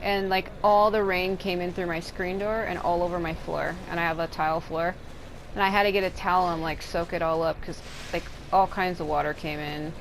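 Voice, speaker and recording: A woman's voice: on the low side, fairly even intonation, fairly quick, slight background noise, low-quality recording. A woman giving casual, rambling gameplay commentary as a streamer, sounding a bit tired and unwell. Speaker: gaming streamer. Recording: game music behind the voice. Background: video game music.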